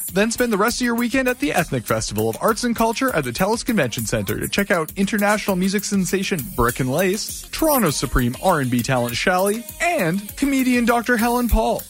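A man reading a scripted event promo in an upbeat announcer delivery. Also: high-pitched.

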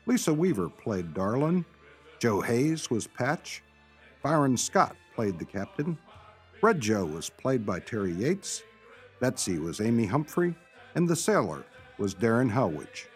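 A man's voice: medium pitch, slightly rough. A man reading scripted radio narration and cast credits as the announcer.

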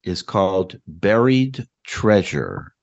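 A man's voice: deep voice, wildly swooping pitch, slow, vocal fry, darkish tone. Male podcast host, dramatic reader of his own flash fiction, dull lo-fi sound. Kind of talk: dramatic story reading.

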